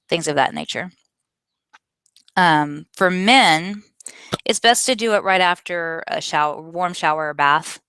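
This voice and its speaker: Low-pitched, clear-voiced woman answering questions. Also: fairly bright tone, dry recording. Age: 40s. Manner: candid, explanatory, self-correcting. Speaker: vlogger answering viewer questions. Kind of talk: casual question-and-answer talk.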